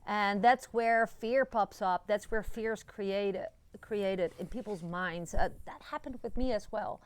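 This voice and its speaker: A woman's voice: medium pitch, measured pace, clean tone, no echo. Dutch woman vlogging outdoors, dry sound. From Netherlands. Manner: encouraging, chatty, many fillers and restarts. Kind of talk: casual talk to camera. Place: outdoors in a rural yard. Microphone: phone camera at arm's length.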